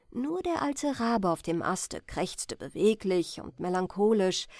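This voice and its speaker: Slow, lively woman reading a fairy tale. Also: mid-range voice, no echo, clean studio sound. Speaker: audiobook reader. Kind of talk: scripted bedtime fairy-tale narration.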